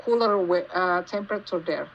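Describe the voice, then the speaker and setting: A woman with an Indonesian accent giving casual interview answers, haltingly searching for words as she goes. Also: lowish voice. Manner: halting, searching for words in non-native English. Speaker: podcast guest, geologist. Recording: dull lo-fi call with faint noise.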